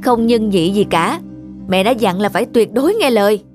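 A woman's voice: mid-range voice, lively intonation, normal speed, music in the background, natural voice. A woman playing an anxious, protective young mother in a cartoon, speaking in an urgent, scolding way. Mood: firm, wary. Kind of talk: dubbed cartoon dialogue.

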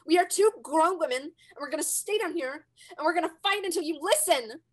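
An actress playing a defiant grown daughter, emotional and argumentative, in a staged reading over video call. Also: female voice, very high voice, animated, brisk. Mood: defiant, upset. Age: young adult. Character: defiant grown daughter, young ballerina standing up to mother. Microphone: video call at desk distance.

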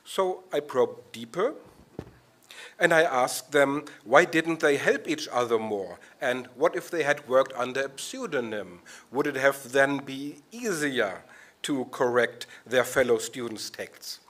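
A man's voice: mid-range voice, animated, measured pace, fairly bright tone. A man giving a wry, storytelling lightning talk from a podium in a conference hall. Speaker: conference speaker. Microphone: podium microphone.

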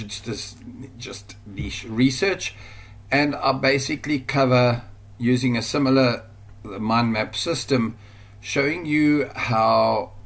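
A man's voice: mid-range voice, a bit bright. A man with a South African accent giving an informal screen-recorded tutorial, rambling with fillers and restarts. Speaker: online course instructor. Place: at a computer, voice-over screen recording.

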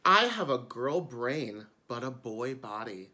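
A man's voice: mid-range voice, sing-song, takes it slowly, pushed and bright. A man, a drag queen, reading a picture book aloud expressively for children, with chatty asides. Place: indoors before a curtain.

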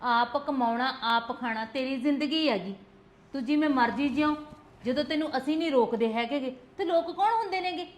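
An actress playing a blunt, protective young sister-in-law in a village family drama, scolding and insisting. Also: female voice, high voice, brisk, close to an everyday voice. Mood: fierce urging. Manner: scolding, insistent, repeats demands for attention.

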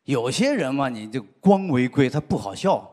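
Husky-voiced man doing stand-up. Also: medium pitch, moderate pace. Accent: Sichuan. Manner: lively storytelling with frequent "啊" fillers. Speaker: stand-up comedian. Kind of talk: stand-up routine. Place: large indoor studio stage with audience. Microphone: handheld mic. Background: audience laughter.

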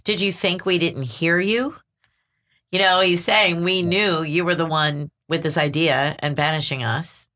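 A woman's voice: on the low side, steady tone, a little slow, pushed and bright. A woman hosting a literary podcast, upbeat and leading the conversation. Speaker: podcast host. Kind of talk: casual literary discussion.

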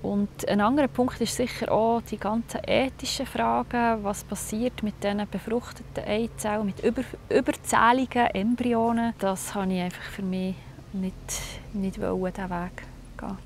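A woman in her thirties with a Swiss German accent, speaking softly in a personal interview and searching for her words with small restarts. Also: mid-range voice, steady tone, normal speed, slight background noise. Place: indoor music room. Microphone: lapel.

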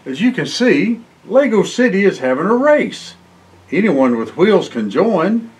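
An older man reading a children's picture book aloud in an expressive way, with exclamations and short asides. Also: sits high, measured pace. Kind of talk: storybook read-aloud for children. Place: indoor library room, seated by bookshelves.

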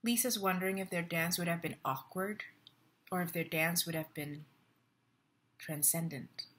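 A woman's voice: lowish voice, steady tone, moderate pace, fairly bright tone, close to an everyday voice. A young woman acting a stage monologue as a lonely, wry, restless woman reading out her online status updates. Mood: dreamy, longing. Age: young adult. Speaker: actor in a staged play reading. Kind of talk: dramatic monologue read as social media status updates. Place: living room at home. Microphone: laptop webcam at close range.

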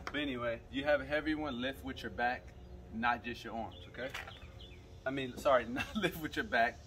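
A young man talks viewers through a how-to in a relaxed, instructive way, speaking straight to them at an everyday mid pitch. He is outdoors in a suburban driveway, picked up by a camera mic that drifts nearer and farther, with a faint hiss of outdoor noise behind him. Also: moderate pace. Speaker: fishing channel host. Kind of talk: casual how-to demonstration. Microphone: camera mic, moving toward and away.

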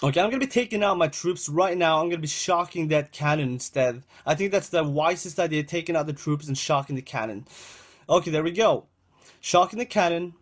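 A man's voice: fairly high pitch, expressive, brisk. A young man giving casual gameplay commentary, repeating himself and talking to viewers as "guys," with a dry throat, recorded indoors on a webcam setup. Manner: rambling, repeats phrases, addresses viewers as guys. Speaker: gaming channel host.

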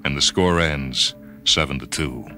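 Very deep-voiced man reading a dramatic story. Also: unhurried, pushed and bright. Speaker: story reader. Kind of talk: dramatic first-person radio short story narration. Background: music and sound cues.

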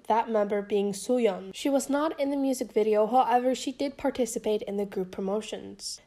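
A woman's voice: on the high side, warm tone. A young woman YouTuber narrating a scripted commentary in a casual, chatty way, her voice still a bit off from being sick.

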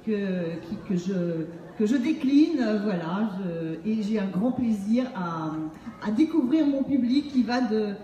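A woman's voice: medium pitch, animated, unhurried, mellow, dull audio. A middle-aged woman presenting her book to an audience in a humorous storytelling style, speaking into a stage microphone. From Montreal, Canada. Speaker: author and acting-based speaking trainer. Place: on stage in a large book fair hall. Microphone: handheld microphone through PA, recorded by distant camera. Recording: echoey hall from far off, faint noise.

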